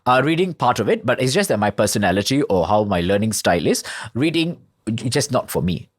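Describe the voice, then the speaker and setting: A man's voice: lowish voice, sing-song, a little fast, no echo, studio quality. A man with a Tamil mother tongue, a teacher telling stories animatedly on a podcast and laughing often. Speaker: English teacher. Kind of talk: casual podcast conversation.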